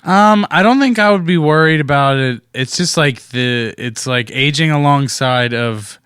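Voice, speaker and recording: A man's voice: on the high side, normal speed, fairly bright tone, smooth, no echo, studio quality. A man in his late twenties from the Pittsburgh area, a musician guest on a podcast, talking in a friendly, self-deprecating way. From Pittsburgh area, USA. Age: 20s. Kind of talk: casual interview chat.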